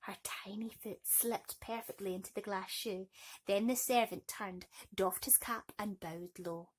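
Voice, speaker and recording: A woman narrating a bedtime story in an expressive, theatrical way. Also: mid-range voice, a little slow, a little hiss or hum.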